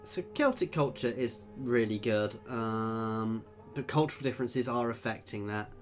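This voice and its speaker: A man's voice: mid-range voice, moderate pace, soft background music. A man giving casual commentary while playing a strategy game, thinking aloud and chatting with viewers. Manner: chatty, thinking aloud, reads out chat messages. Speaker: gameplay video creator.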